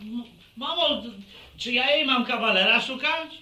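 Young village musician, very high piercing voice, unhurried, cocky, wry, roomy radio play. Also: male voice, bright, forceful tone, full character voice. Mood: wry, defiant. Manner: wry, boastful, aphoristic. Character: cocky, money-minded young village accordion player. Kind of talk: radio drama acting. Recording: roomy, distant, faintly hissy radio recording.

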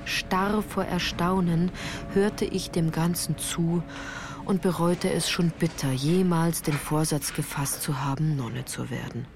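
A woman's voice: sits low, fairly even intonation, measured pace, vocal fry. A woman reading scripted literary first-person narration for a radio play, composed and carefully articulated. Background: music.

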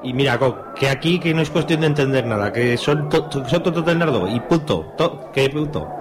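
A man's voice: mid-range voice, expressive, a little fast, music in the background, close to an everyday voice. A man playing a crude, bullying, reactionary policeman with an Aragonese Spanish accent in an amateur radio drama. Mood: ranting, contemptuous. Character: brutish, reactionary, foul-mouthed policeman beating a hippie.

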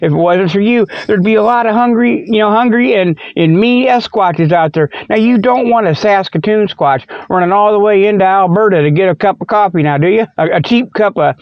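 High pitched guy rambling and joking like a folksy radio host, muffled dry lo-fi sound. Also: brisk, hardly any pauses, dry recording. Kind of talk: rambling comic monologue. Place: inside a truck. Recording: dry, dull lo-fi audio.

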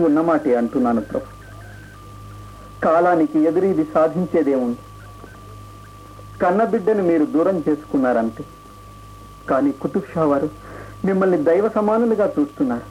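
An actor playing a loyal, deferential royal minister who pleads earnestly and respectfully in a radio play. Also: male voice, on the high side, lively intonation, normal speed, pauses often, natural voice. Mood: earnest pleading. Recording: dull radio recording, faint hiss.

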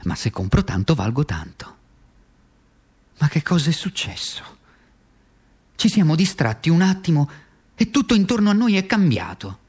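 A man's voice: fairly high pitch, big pitch swings, moderate pace, long pauses, a little mellow, husky. A male stage actor playing a bitter, worn-out communist in a theatrical radio monologue, impassioned and sarcastic, swinging between muttering and crying out. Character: desperate, bitter, worn-out communist man ranting.

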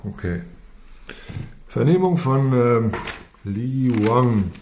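A man's voice: medium pitch, animated, very slow, darkish tone. An actor playing a brusque, impatient male police detective who questions methodically in a radio crime drama. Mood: probing. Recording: echoey room with some noise.

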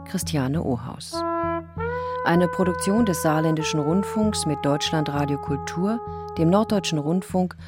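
Woman reading radio play credits, formal, deepish voice, brass music really loud. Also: a little slow. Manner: formal, clear list reading. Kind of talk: scripted radio announcement. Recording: loud trombone music over speech.

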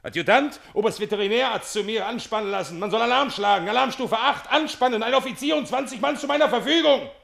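Brief: commanding military general, gravelly and forceful, clipped orders, pompous, radio drama. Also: male voice, very high voice, fast, hardly any pauses, full character voice. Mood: commanding, brusque. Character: gruff, commanding Russian army general, pompous and decisive. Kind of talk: German radio play acting.

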